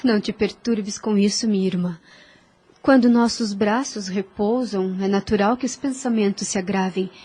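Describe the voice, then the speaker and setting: A woman with a Brazilian accent acting a gentle, devoted woman of thirty, earnest and formal, in an audio drama. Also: mid-range voice, steady tone, normal speed, breathy edge, lightly acted. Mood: calm, reassuring.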